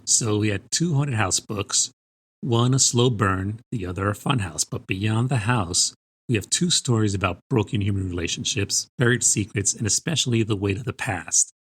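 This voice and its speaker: A man's voice: lowish voice, normal speed, a bit bright, husky. A man co-hosting a podcast, talking conversationally about books. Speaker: book podcast co-host. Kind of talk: conversational podcast book talk.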